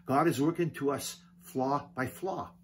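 A middle-aged man preaching a video sermon at home, animated, gesturing and calling out hallelujah often. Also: medium pitch, a little slow, breathy edge. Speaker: pastor. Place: home living room, indoors.

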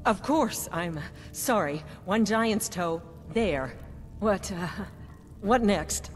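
An actress playing an eager, fawning castle chef who talks in a hesitant, formal way, as dialogue in a fantasy video game. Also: female voice, mid-range voice, lively intonation, slow. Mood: deferential, unsure. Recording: hall reverb under game music.

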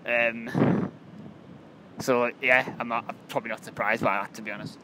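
Man acting as British sea captain, offhand, rambling, mid pitch, comedy film, very noisy windy outdoor audio. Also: level tone, moderate pace, pushed and bright, close to an everyday voice. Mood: vague, offhand. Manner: vague, rambling, hesitant. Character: vague, offhand male pirate captain, a witness. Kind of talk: improvised comedy film acting, casual chat. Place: outdoors by a rocky seafront.

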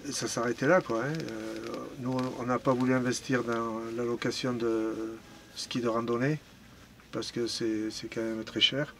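A middle-aged man with a medium-pitched voice answers casually and haltingly. He is indoors in a narrow storeroom, with faint noise behind him. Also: steady tone, creaky, a bit bright. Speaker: ski rental shopkeeper.

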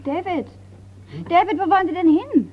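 An actress playing a concerned, probing niece in a radio crime drama. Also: female voice, very high voice, moderate pace. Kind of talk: German radio drama acting. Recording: tinny, narrow-band radio recording.

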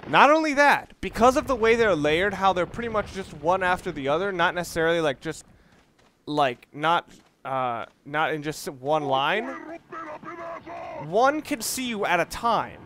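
A man giving unscripted gameplay commentary, explaining things in a halting, analytical way. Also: high voice, animated, a bit bright, slight background noise. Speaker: let's play commentator. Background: video game sounds and music.

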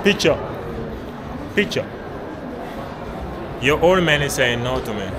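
A man's voice: a little high, expressive, takes it slowly, background noise. A man vlogging as he walks through a shopping mall, rambling casually to himself and to people he passes. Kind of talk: casual walking commentary. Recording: loud music in a busy mall.

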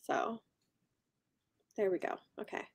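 A woman's voice: slow pace, dry recording. A young woman co-hosting a book livestream, chatting casually and reacting to viewers as she goes. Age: young adult. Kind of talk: casual chat, reacting to live comments. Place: small book-lined room at home, on a video call.

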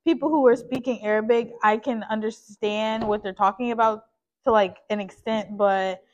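A young woman sitting for a portrait in a small home studio, chatting casually, curious and quick to laugh. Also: on the high side, fairly even intonation, muffled recording. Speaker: sitter and guest. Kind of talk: casual chat.

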